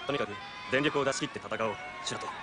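A man playing a calm, level-headed teenage boy martial artist in an anime. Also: mid-range voice, fast talker, over a noisy crowd, natural voice. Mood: earnest. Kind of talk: anime voice acting.

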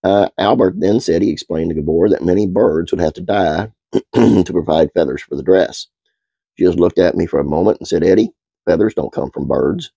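A middle-aged man hosting a casual trivia video at home, stuffed-up and congested, talking in a relaxed, halting way with many uhs. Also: low voice, gravelly, slightly rough, dry recording, muffled recording. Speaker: host.

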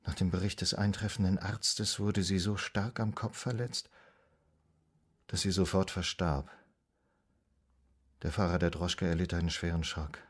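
An actor playing a courteous, troubled psychoanalyst with a formal, measured way of talking in a radio play. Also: male voice, sits low, fairly even intonation, breathy edge. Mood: grave, shocked. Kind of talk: German radio drama acting.

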